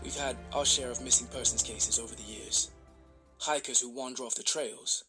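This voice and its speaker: A man playing a grave local official in a scripted witness interview, speaking haltingly with a husky voice. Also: mid-range voice, fairly even intonation, music in the background, lightly acted. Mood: grave, mystified.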